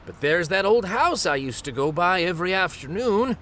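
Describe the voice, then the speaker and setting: A man voicing a gentle, nostalgic old grandfather from a picture book, musing and a little hesitant. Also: sits high, lively intonation, moderate pace, slight background noise. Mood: bewildered, grumbling. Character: gentle, nostalgic old Japanese grandfather, retired street storyteller.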